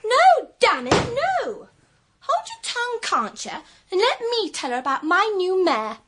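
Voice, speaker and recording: A woman's voice: very high voice, wildly swooping pitch, a little slow, heavy background noise, full character voice. A woman playing a brash, horse-mad, impudent teenage girl in a period audio drama. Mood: impatient, defiant. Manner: blunt, impudent, swearing.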